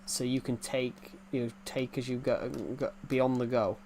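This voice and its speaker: A young man in his twenties talks loosely while he plays, circling back over the same phrases and answering viewers as he goes. His voice sits at a middling pitch, picked up by a desk mic with a faint hiss of noise behind it. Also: flat delivery, normal speed, a little mellow. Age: 20s. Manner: rambling, repeats himself, answers chat. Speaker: gaming streamer. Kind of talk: casual live-stream chat while playing.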